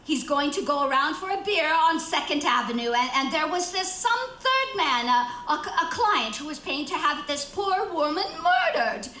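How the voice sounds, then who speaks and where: A woman's voice: high-pitched, lively intonation, normal speed, few pauses, bright, forceful tone, reverberant, a little hiss or hum. A woman playing a nervous, imperious bedridden wife in a radio thriller, agitated, stammering and insistent. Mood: frantic, nervous. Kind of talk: theatrical radio thriller acting.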